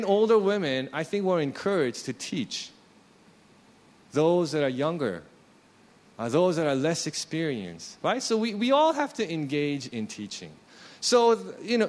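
A man in his late thirties, a pastor, preaching a sermon in a conversational, self-deprecating way. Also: sits high. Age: 30s.

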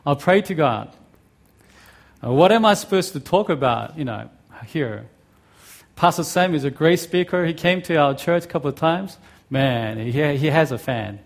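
A middle-aged man preaching a sermon, his English carrying a blend of Korean, Australian and American accents. His voice sits a little high, and he talks in a friendly, halting way, with frequent ums and uhs. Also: vocal fry. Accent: mix of Korean, Australian and American. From South Korea. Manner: friendly, halting, many fillers. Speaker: guest pastor.

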